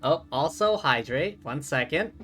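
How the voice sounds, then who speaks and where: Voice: mid-range voice, expressive, unhurried, a bit bright. A game streamer giving casual live commentary, reading along and reacting to the game. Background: game music.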